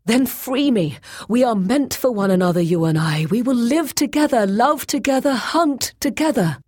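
A woman's voice: lowish voice, animated, normal speed, husky, pitched above the performer's own voice. A woman voicing a proud, passionate male shapeshifter in a fantasy story, pleading with urgent intensity. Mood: eager pleading. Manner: urgent, imploring, intense delivery.

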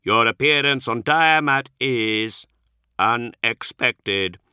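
A harsh, atonal synthetic voice of a male tech priest, as a man reads him, slow and clipped, every word carefully spaced. It is set in a dry, close audiobook recording with a bright edge. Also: medium pitch, clean tone, no echo, pitched above the performer's own voice. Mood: measured. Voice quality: harsh, atonal, strangely inflected. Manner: slow, clipped, precise delivery.

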